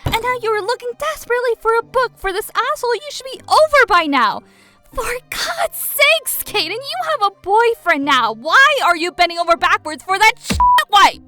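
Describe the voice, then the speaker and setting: A woman's voice: very high-pitched, very animated, moderate pace, bright, forceful tone, dry recording, soft background music, full character voice. A woman acting a nosy, blunt young best friend in a game roleplay drama, with pointed, dramatic questioning. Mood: exasperated.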